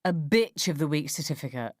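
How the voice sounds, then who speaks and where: A woman's voice: sits low, lively intonation, brisk, a little mellow, no room sound, clean studio sound. A woman voicing a sly, unworried school mum with a knowing, teasing way of talking in a radio story. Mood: smug, knowing.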